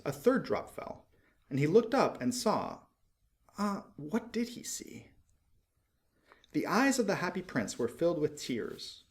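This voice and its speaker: A man reading a storybook aloud as an expressive audiobook narrator. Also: fairly high pitch.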